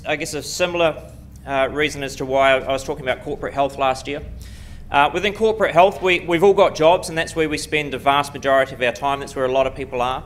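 A middle-aged man with a New Zealand accent, giving a quick, self-deprecating conference lecture full of ums and restarts, speaking into a lectern mic in a lecture room. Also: a little high, a bit bright, slight background noise. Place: lecture room before a seated audience. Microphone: podium mic at a lectern.

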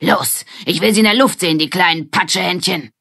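Frecher, großspuriger Junge, gespielt von einer Frau. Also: female voice, lowish voice, a little fast, gravelly, bright, forceful tone, no echo, clean studio sound, pitched above the performer's own voice, exaggerated voice. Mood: bossy swagger. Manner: taunting, commanding. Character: cocky, bossy young boy, swaggering gang rival.

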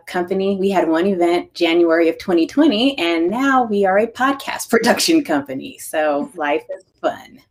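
A woman in her thirties, a sound designer and podcast producer, talking in a relaxed way on a video call panel. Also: on the low side. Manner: relaxed, conversational, with fillers. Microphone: webcam on video call.

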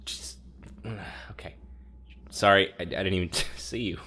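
Audio drama lead: nervy research subject, halting casual speech, lowish voice. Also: male voice, steady tone, heavy background noise. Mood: uneasy, awkward. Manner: stammering, halting, informal. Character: anxious, sweary, hot-headed research subject. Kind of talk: naturalistic audio drama acting. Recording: faint background ambience.

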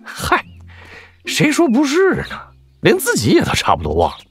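An actor playing an obese, fawning general with a folksy, self-deprecating manner and a husky voice in a Chinese wuxia audio drama. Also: male voice, extremely high pitch, wildly swooping pitch, moderate pace, faint music behind, heavily acted. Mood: folksy, eager. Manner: obsequious, chuckling, sighing, folksy.

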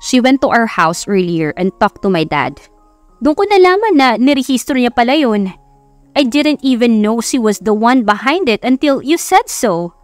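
A woman's voice: fairly high pitch, big pitch swings, moderate pace, no echo, faint music behind. A Filipino woman voicing a defensive, flustered young woman hiding a crush, in an audiobook romance. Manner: snappy, flustered.

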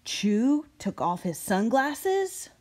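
A woman reading a children's story aloud expressively, with deliberate, drawn-out phrasing. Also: a little high, very animated, darkish tone. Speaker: reader of children's books.

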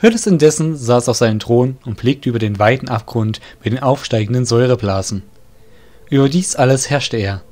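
A man narrating an audio drama in an expressive storytelling way, over music. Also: mid-range voice, brisk. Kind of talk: scripted narration.

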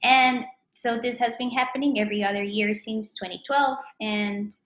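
A woman's voice: on the high side, fairly even intonation, normal speed, a bit bright. A woman in her thirties from Colombia with a Colombian Spanish accent, a mathematician answering interview questions in a thoughtful way, often restarting her phrases. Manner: thoughtful, self-correcting, frequent restarts. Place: home room with plants. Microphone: webcam at desk distance. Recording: echoey room on a webcam.

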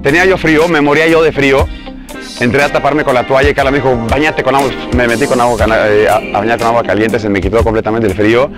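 A man's voice: mid-range voice, fairly even intonation, fast, music in the background. A man in his thirties with a Mexican accent, recounting events in a reality show confessional outdoors. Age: 30s. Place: outdoors in a resort garden.

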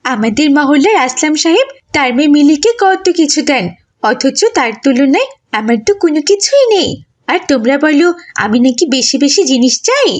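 A woman's voice: high-pitched, big pitch swings, normal speed. A woman voicing a spoiled, greedy little schoolgirl in a cartoon, petulant and demanding. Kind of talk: cartoon dialogue.

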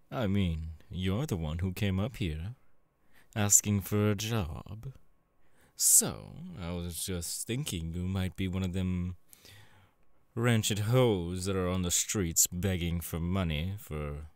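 Guy doing a leering seductive demon crime boss for asmr roleplay, drawly and unhurried, super dry close recording. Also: darkish tone, dry recording. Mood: sly, possessive. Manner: suave, drawling, leering, with low chuckles and pet names. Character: sleazy, seductive demon porn director and crime boss, male. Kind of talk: ASMR audio roleplay, in character.

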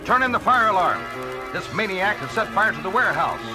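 An actor playing a resolute, righteous masked superhero with formal, declamatory delivery in a comic-book audio drama. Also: male voice, high-pitched, expressive, brisk. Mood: commanding. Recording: vintage vinyl record drama with music.

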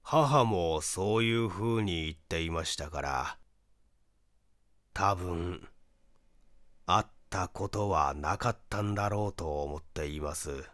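A man voicing a reserved, grieving brother in an audiobook, speaking politely and hesitantly in measured phrases. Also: low-pitched, a bit bright, no echo, clean studio sound, pitched below the performer's own voice. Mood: subdued. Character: reserved, grieving young man recalling his dead sister. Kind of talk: audiobook character dialogue.

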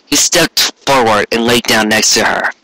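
Male story narrator, bright forceful voice, dry close sound. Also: mid-range voice, normal speed, no room sound, low-quality recording. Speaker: amateur fan fiction reader. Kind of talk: scripted narration, storybook reading.

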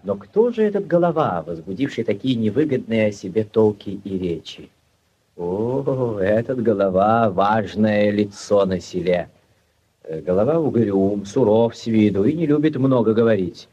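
An actor narrating a literary story for 1940s radio as a wry, measured and expressive storyteller. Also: male voice, on the low side, breathy edge. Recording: muffled, noisy 1940s radio recording.

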